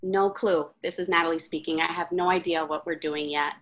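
A woman speech therapist talks as a podcast guest, her voice slightly low. She answers hesitantly, with many ums and uhs. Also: fairly even intonation, brisk. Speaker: speech-language pathologist guest. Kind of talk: casual interview answers.

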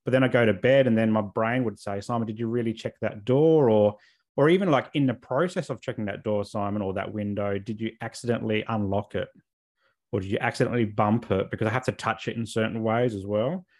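A man's voice: fairly low pitch, fairly even intonation, fairly quick, darkish tone. A man speaking as an interview guest, telling his personal story in a candid, reflective and informal way. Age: adult, over 28.

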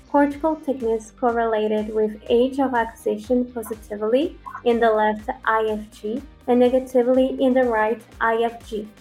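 Brazilian woman, podcast guest, measured academic delivery, slightly high voice. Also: mellow, poor audio. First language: Brazilian Portuguese. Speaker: podcast guest, language researcher. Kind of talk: podcast interview answers.

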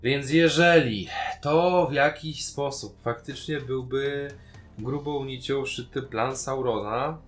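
A man talks casually as a podcast co-host. His voice sits at a medium pitch and his delivery is colloquial and full of opinion. Faint guitar music plays underneath. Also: slow. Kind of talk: casual discussion of a TV series.

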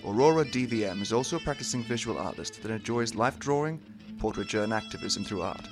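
A man with a low voice reads a podcast introduction at an even, measured pace. Plucked guitar music plays clearly behind him. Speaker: podcast host. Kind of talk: reading an intro script.

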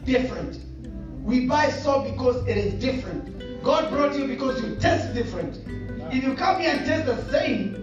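A man's voice: very high-pitched, moderate pace, highs cut off. A man with a Kenyan accent preaching a sermon, joking and calling out to the congregation. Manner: lively, joking, call-and-response with the congregation. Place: church hall. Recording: echoey hall from far off, music behind.

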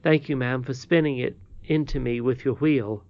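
A man voicing an earnest, contrite and excitable boy in a storybook, speaking with polite emphasis. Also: medium pitch, moderate pace, no room sound, muffled recording, lightly acted. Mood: remorseful. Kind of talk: storybook character reading.

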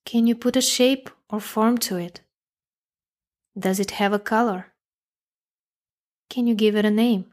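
A woman narrating a guided meditation, speaking calmly in measured phrases with long pauses. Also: on the high side.

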